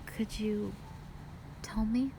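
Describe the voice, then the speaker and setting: A woman's voice: mid-range voice, steady tone, extremely slow, creaky, rough recording. A woman playing a fragile, remorseful young hospital patient in an audio roleplay, speaking hesitantly and apologetically. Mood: subdued, remorseful. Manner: hesitant, apologetic, sighing between short phrases. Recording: piano music behind the voice.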